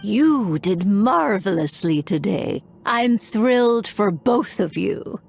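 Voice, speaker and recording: A woman's voice: medium pitch, expressive, slow, vocal fry. A woman playing a playful older witch in a toy body in a video game, with a grand, teasing delivery. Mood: amused approval. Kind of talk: scripted video game voice acting. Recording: game music loud behind voice. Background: loud game music.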